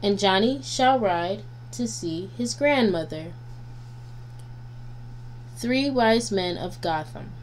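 Woman, children's rhyme reader, very unhurried, sing-song, deliberate, faint background hum. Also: medium pitch, lively intonation. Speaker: guest storybook reader. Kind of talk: nursery rhymes read aloud for children. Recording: noisy home recording with hum.

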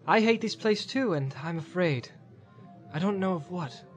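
Storybook halfling, played by a man: small, timid, earnest, anxious, pitched up over soft music. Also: big pitch swings, rapid-fire. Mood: afraid. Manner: anxious, pleading.

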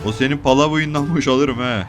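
A man's voice: mid-range voice, brisk. A man streaming online roleplay gameplay, trolling other players with crude, taunting banter and constant swearing. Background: music.

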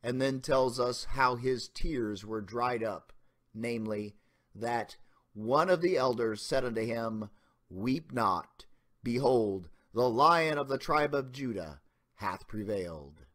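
A man giving a dramatic reading of an old sermon as a pastor, in solemn, deliberate, emphatic formal oratory. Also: mid-range voice, a bit bright.

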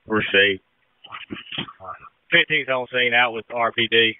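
A man's voice: medium pitch, takes it slowly, clean tone, no room sound. A man, a fire engine officer, giving terse reports over a two-way radio. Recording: dry, muffled two-way radio.

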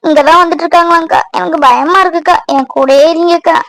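A woman's voice: very high voice, fairly even intonation, fast talker, a bit bright. A woman doing a tearful frightened young wife. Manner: tearful, trembling, pleading. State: crying. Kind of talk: Tamil domestic audio drama.

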